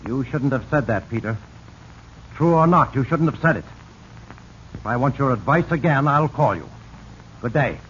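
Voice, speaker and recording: A man's voice: medium pitch, expressive, moderate pace, long pauses, close to an everyday voice. A man playing a proud, cornered company boss in a 1940s radio drama, agitated and indignant. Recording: muffled, noisy 1940s radio recording.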